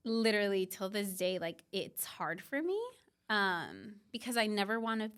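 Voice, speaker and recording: Smooth-voiced young woman. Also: medium pitch, sing-song, moderate pace, fairly bright tone. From Mexico. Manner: reflective, many fillers like "um" and "like". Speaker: podcast guest, business founder. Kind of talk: casual podcast interview.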